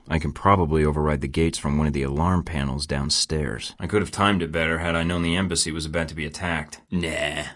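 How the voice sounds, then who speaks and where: A man's voice: a bass voice, a bit bright. An actor voicing a young male secret agent in a video game, dry and wry with sardonic one-liners. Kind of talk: game voice lines. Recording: studio game dialogue.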